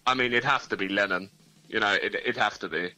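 A man's voice: lowish voice, a little fast, sharp tone, no room sound, poor audio. A man, a band member, chatting easygoingly as a guest in an interview and thinking aloud. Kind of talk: casual interview chat.

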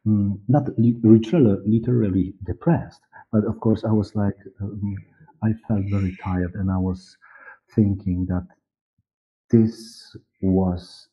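A middle-aged man with a Polish accent, a native Polish speaker, giving interview answers haltingly as he searches for words. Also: lowish voice, steady tone, husky. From Poland. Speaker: guest, audiobook director and producer. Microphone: headset on a video call. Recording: muffled, narrow-band video call audio.

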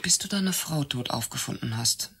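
A husky, wounded former mistress. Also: female voice, rumbling low, animated, fairly bright tone, heavily acted. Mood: accusing. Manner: sarcastic, reproachful, hesitant pauses. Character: bitter, jealous secretary and former mistress of her boss. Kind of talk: naturalistic German radio play acting.

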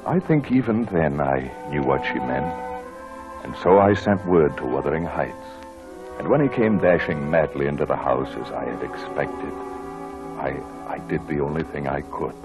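An actor playing a proper husband in a 1940s radio drama, speaking in a solemn, dramatic manner. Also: male voice, rumbling low, expressive, normal speed, music in the background, poor audio. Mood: sorrowful, reflective. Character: proper, indignant husband narrating his sorrowful memories.

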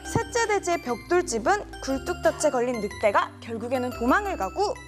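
Female voice-over, high and bouncy, sing-song fairy-tale narration over jingly music. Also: sing-song, fairly quick. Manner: sing-song, sweet storytelling. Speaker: storybook narrator. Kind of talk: scripted children's story narration.

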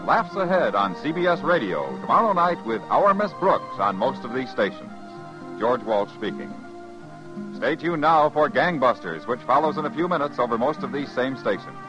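A man's voice: medium pitch, big pitch swings, moderate pace, muffled recording, over music. A man working as a radio announcer, reading scripted credits in formal, declamatory 1950s broadcast style.

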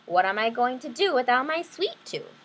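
A woman's voice: a little high, sing-song, normal speed, fairly bright tone, a little hiss or hum. A woman voicing a dramatic, complaining little girl who loves pink and sweets, in a storybook reading. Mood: whiny.

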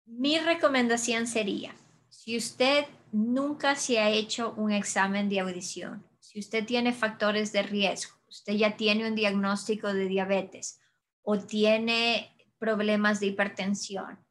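Woman audiologist, webinar answers, calm explanatory delivery, video call mic. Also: medium pitch, slow. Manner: calm, explanatory, frequent restarts. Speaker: audiologist presenter. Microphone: webcam video call.

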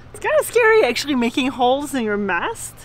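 A woman's voice: sits high, big pitch swings, moderate pace, a little hiss or hum. A woman in her thirties chatting casually as she explains boat repair work outdoors in a boatyard, recorded on a camera mic. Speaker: sailing vlogger. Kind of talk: casual vlog narration.

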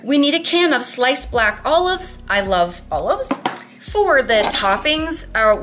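A woman's voice: a little high, bright, forceful tone. A woman in her thirties chatting casually as she walks through her meal prep in a home kitchen, upbeat with cutesy words. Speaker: vlogger.

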